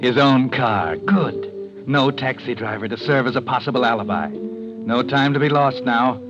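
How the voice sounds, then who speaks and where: An actor playing a sardonic, ominous narrator who tells an old-time radio mystery in the second person. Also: male voice, mid-range voice, unhurried, lightly acted. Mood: ominous, suspenseful. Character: sardonic male narrator, cool, ominous voice of conscience. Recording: muffled old radio recording, music behind.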